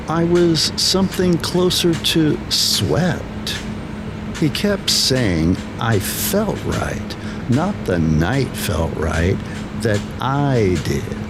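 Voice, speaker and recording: A man narrating a scripted first-person horror story in deliberate, short dramatic phrases with pauses. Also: mid-range voice, background noise. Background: background music.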